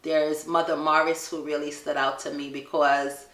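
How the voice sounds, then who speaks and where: A middle-aged woman with a Jamaican accent, telling her son stories in a relaxed family question-and-answer chat and laughing often. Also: deep voice, normal speed, reverberant. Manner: warm storytelling, laughs often.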